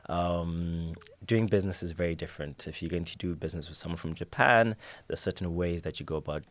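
A man's voice: low-pitched, a little fast. A young man talking easily as a guest in an interview, with plenty of ums and restarts. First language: English. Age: young adult. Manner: relaxed, with many fillers and restarts. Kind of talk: casual interview answers. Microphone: studio mic at a table.

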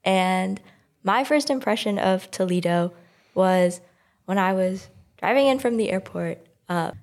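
A woman's voice: medium pitch, darkish tone. A woman in her twenties from Milwaukee, a student intern, speaking thoughtfully and casually in an interview. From Milwaukee, Wisconsin. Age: 20s. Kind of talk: conversational interview.

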